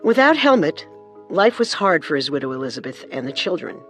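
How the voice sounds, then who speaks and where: A woman narrating a scripted true-crime story, measured and with storyteller's emphasis. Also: deep voice, sing-song. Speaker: co-host narrator. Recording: music under the voice.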